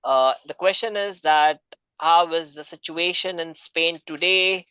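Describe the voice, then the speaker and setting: A man moderates an online seminar, polite and a little hesitant, with frequent fillers. His voice comes through smooth and clear. The call audio is dry and somewhat dull. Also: a little high, a little slow, pushed and bright, no echo. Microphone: video call.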